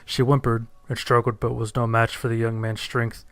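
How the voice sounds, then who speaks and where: Guy reading horror story narration, flat intonation, mid pitch, matter of fact. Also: moderate pace, dry recording. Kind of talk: first-person scripted horror narration.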